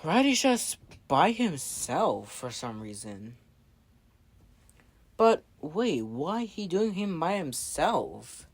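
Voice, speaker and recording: A woman playing a laid-back, doubtful dude with a slangy, halting delivery in improvised amateur dialogue. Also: sits low, sing-song, husky, full character voice. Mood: puzzled.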